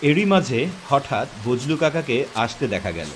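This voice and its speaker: A man's voice: mid-range voice, very animated, moderate pace, a little hiss or hum. A man narrating a scripted horror story in a dramatic, suspenseful style.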